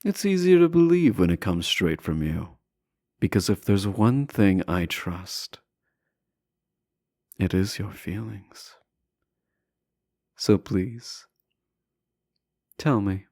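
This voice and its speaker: A man playing a teasing, self-assured deposed prince in a romantic audio roleplay, speaking softly and deliberately with courtly, formal phrasing. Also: on the low side, expressive, dry recording, clean studio sound, exaggerated voice. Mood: smug, coaxing. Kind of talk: romantic audio roleplay acting, addressing the listener.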